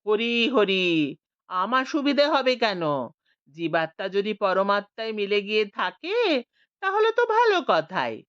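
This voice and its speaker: A woman's voice: mid-range voice, sing-song, a little slow, no room sound. A woman voicing a humble but crafty male Vaishnav devotee who speaks sweetly and meekly. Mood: sweet, pleading. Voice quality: soft and meek. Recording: dull lo-fi.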